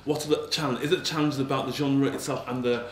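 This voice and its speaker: A man chairing a festival panel, putting measured questions to the writers. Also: medium pitch, steady tone, a little hiss or hum. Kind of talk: moderating a panel discussion. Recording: echoey room, mic at a distance, faint ambience.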